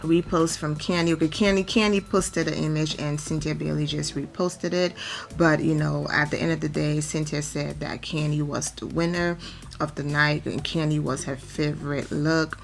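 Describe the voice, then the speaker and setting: A hoarse woman, nearly losing her voice, chatting through celebrity gossip as a vlogger in a rambling, filler-heavy way. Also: deep voice, steady tone, moderate pace, soft background music. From Atlanta, Georgia. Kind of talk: casual gossip commentary.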